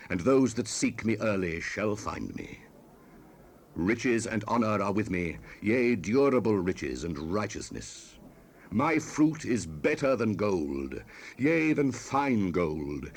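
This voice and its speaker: A man's voice: on the low side, sing-song, slow, heavily acted. A man voicing Wisdom as an ancient, righteous spirit, proclaiming emphatically in a dramatized Bible reading on a hissy old cassette. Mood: exalted, commanding. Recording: 1970s cassette rip with hiss.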